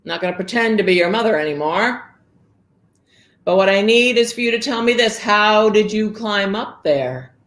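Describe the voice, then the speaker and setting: A woman voicing a huge, angry male ogre who makes loud, drawn-out threats in a storytelling voice. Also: mid-range voice, expressive, pitched above the performer's own voice, natural voice. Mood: menacing. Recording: roomy echo.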